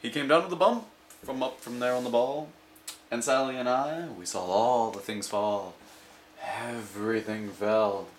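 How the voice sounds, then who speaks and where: A man reading a rhyming children's story aloud with an expressive, sing-song rhythm. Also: mid-range voice, a little slow, echoey room. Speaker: amateur storybook reader. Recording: steady background noise, home recording.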